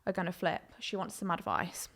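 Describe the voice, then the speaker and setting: Chatty woman with a creaky voice. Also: lowish voice, fairly even intonation, a little fast, fairly bright tone. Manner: chatty, laughs often, self-interrupting. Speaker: podcast co-host. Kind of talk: casual chat.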